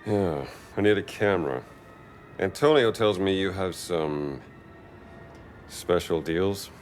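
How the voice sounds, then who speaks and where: A man playing a gruff, wry American adventurer posing as a priest in a cinematic game, with a low voice and an American accent. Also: expressive, takes it slowly, soft background music.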